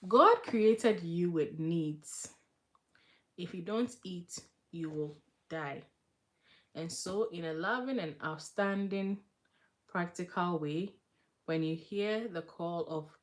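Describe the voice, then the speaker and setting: A woman hosts a casual devotional livestream in a voice a little lower than most women's. She speaks in an earnest, conversational way, moving between personal testimony and reading aloud. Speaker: livestream host.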